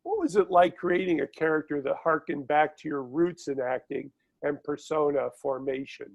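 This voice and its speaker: A man's voice: a little high, moderate pace, gravelly, rough recording. A male interviewer reading prepared questions over a video call, formal and brief in his replies. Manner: formal questions with short affirmations. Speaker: interviewer, film site host.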